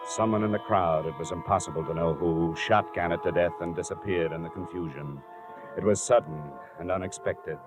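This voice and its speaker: A man's voice: low voice, fairly quick, close to an everyday voice. An actor playing a terse, hard-boiled male investigator in a 1950s radio drama, clipped and matter-of-fact as he talks and narrates. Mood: grim narration. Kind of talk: 1950s radio detective acting, first-person narration. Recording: restored 1950 radio recording, music behind.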